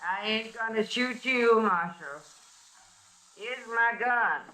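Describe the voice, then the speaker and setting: A woman's voice: fairly high pitch, takes it slowly, a crowd in the background, low-quality recording. An actress playing a hard, weary middle-aged frontier mother with blunt rustic speech in a 1950s radio western. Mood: defeated, bitter.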